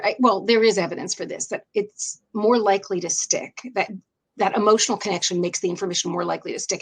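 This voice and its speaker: An American woman with a mid-pitched voice speaks as a guest in an interview. She explains her points in a conversational, thoughtful way, often qualifying and rewording them. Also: rough recording. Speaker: interview guest, education writer.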